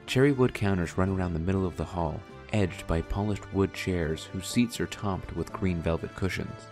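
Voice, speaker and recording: Low-voiced man narrating an audio drama. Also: a little mellow. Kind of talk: scripted descriptive narration.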